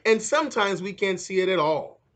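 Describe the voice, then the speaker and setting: A man's voice: sits high, expressive, fairly quick, fairly bright tone. A man voicing curious, eager young schoolchildren in a storybook, with simple exclaiming delivery. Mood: excited.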